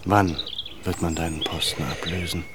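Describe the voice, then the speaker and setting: Man playing a low-voiced, composed gentleman. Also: flat delivery, close to an everyday voice. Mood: wary, questioning. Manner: measured, deliberate questioning. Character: composed, probing Armenian gentleman, addressed as effendi. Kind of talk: radio drama acting. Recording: faint noise behind.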